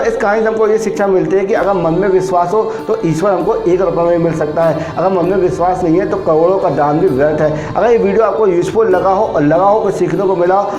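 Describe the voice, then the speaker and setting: A man's voice: high voice, a little mellow. A man hosting and narrating a scripted moral story as a storyteller. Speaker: storyteller and channel host. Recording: roomy echo, music behind. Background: background music.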